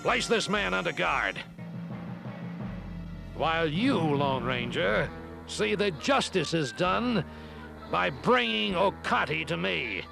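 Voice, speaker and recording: An actor in an animated series playing a stubborn, haughty cavalry captain with a pompous, deliberate, emphatic manner. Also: male voice, high voice, creaky, heavily acted. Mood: commanding. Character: stubborn, haughty male cavalry captain, strict about rules. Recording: music behind the voice.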